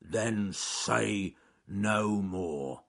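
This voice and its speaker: A man voicing a big, strong, clever male bear who speaks slowly and carefully. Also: fairly low pitch, gravelly, fairly bright tone, full character voice. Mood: deliberate. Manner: slow and careful speech.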